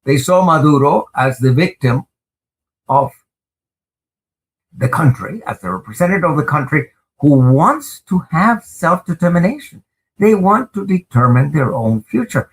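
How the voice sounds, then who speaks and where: An older man whose first language is Spanish, a lawyer answering interview questions in a measured way, full of "uh" pauses and pointed emphasis. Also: a little high, animated, rough recording. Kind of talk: interview answers, recounting experiences.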